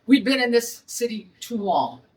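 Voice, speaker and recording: A woman's voice: on the high side, expressive, normal speed, far from the mic. A middle-aged woman from Detroit with a Detroit accent, a restaurant owner holding a blunt, indignant press conference.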